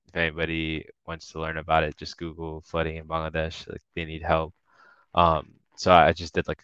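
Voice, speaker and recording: A man's voice: extremely low pitch, a bit bright, clear, resonant tone, dry recording, muffled recording. A young man from the Washington, D.C. area, a guest rapper talking casually in a podcast interview, laid-back, with frequent laughs and fillers. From Washington, D.C. area, USA.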